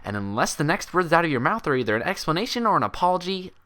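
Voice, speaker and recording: A man's voice: fairly high pitch, big pitch swings, quick pace, bright, forceful tone, pitched above the performer's own voice. A man voicing an indignant young female unicorn pony in a story reading, bookish, stubborn and insistent. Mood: angry. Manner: insistent, emphatic, argumentative.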